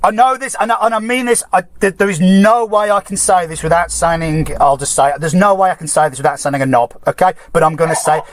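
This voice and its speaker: A man's voice: high-pitched, quick pace, hardly any pauses, slight background noise. A man speaking as a caller on a formal complaint call, insistent and blunt, repeating phrases for emphasis.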